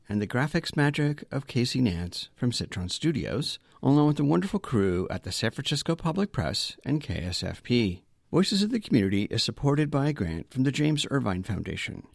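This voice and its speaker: A man's voice: lowish voice, creaky, no echo, studio quality. A man hosting a community podcast, speaking as a presenter. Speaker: podcast host. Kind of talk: scripted podcast narration and interview hosting.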